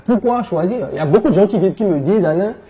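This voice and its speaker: A man with a West African French accent, answering conversationally as an interview guest, telling his experience in an animated way. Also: sits high, very fast, lo-fi sound, crowd noise. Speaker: interview guest, entrepreneur and coach.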